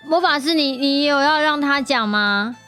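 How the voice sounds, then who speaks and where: A woman's voice: sits high, moderate pace, pushed and bright, soft background music. A woman with a Taiwanese Mandarin accent asks questions in a casual, curious, teasing chat as a guest podcaster.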